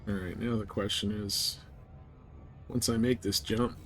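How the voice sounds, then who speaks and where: A man's voice: lowish voice, fairly even intonation, unhurried, fairly bright tone, a little hiss or hum. A man livestreaming a space game, giving casual, offhand commentary and chatting with viewers in short remarks. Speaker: live streamer playing a space game.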